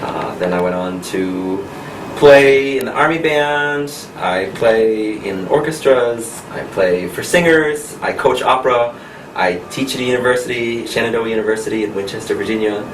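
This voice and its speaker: A man from the United States in his thirties, a pianist and coach answering interview questions in a friendly, unhurried way with frequent laughs. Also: mid-range voice. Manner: friendly, laughs often, speaks slowly and clearly. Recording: echoey room recorded from a distance with noise.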